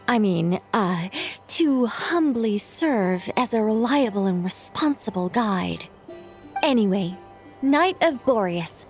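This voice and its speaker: Poised, playful angel mage. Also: female voice, high-pitched. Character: gracious, playful ancient female angel turned mage. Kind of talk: video game voice acting.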